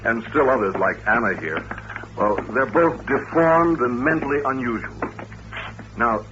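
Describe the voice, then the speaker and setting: An actor playing a courteous, patient doctor who runs an institution, explaining things politely and with measure in an old-time radio drama. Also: male voice, medium pitch, lively intonation, unhurried, natural voice. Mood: earnest, explanatory. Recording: dull old radio recording, faint hiss.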